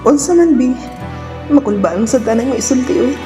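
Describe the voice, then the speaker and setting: A woman's voice: high voice, expressive, moderate pace, music in the background. A woman playing a sensible young student girlfriend in an amateur radio drama. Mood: worried, firm.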